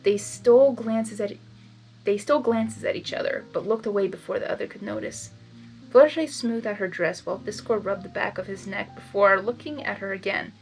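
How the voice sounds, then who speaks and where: A woman reading fan fiction aloud in expressive storybook narration, with informal asides. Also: medium pitch, gravelly, low-quality recording.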